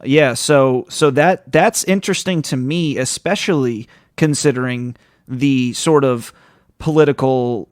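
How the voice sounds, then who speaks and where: A man's voice: medium pitch, no echo, studio quality. A man hosting a podcast in casual interview chat, talking in a rambling, informal, slangy way. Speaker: podcast host.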